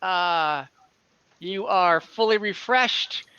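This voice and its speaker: A man improvising tabletop game narration as game master, in a halting, hesitant delivery. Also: sits high, bright, forceful tone, clean tone, lo-fi sound. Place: dry room, online game session.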